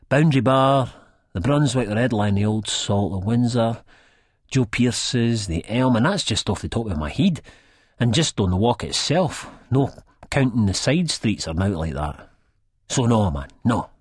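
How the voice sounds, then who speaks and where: A good-natured, drug-addled Scot from Edinburgh, narrating an audiobook in character. His voice is low and rough-edged, with a husky grain. He rambles in thick local slang, in a dry, close recording. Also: darkish tone, dry recording. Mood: animated, indignant. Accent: Edinburgh Scottish. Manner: colloquial, rambling, peppered with "man". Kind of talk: first-person audiobook narration, acted in character.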